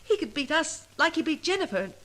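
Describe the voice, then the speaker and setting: A woman's voice: high-pitched, big pitch swings, quick pace, fairly bright tone. An actress playing an anxious, loyal female companion to a sleuth in melodramatic 1940s radio acting. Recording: noisy vintage radio recording with clicks.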